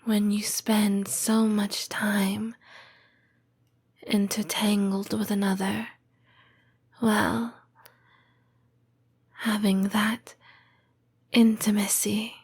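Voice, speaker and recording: Lamia girlfriend, female actress, ASMR roleplay: tender and lonely, hushed breathy whisper, drawn-out hesitant pacing. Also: mid-range voice, flat delivery, exaggerated voice. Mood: wistful, hurt. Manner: slow, hesitant, intimate delivery with long pauses. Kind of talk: soft-spoken ASMR roleplay.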